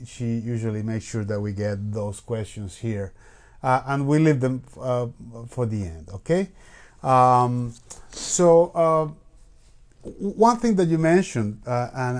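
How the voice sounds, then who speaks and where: A man's voice: medium pitch, darkish tone. A man hosting a live-streamed interview with a musician, talking casually with many fillers and restarts. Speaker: host.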